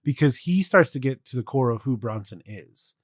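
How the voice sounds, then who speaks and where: A man with a mid-pitched voice in a casual podcast discussion. He sounds earnest and loosely meandering as a co-host, on a very dry recording. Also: animated, normal speed, no room sound.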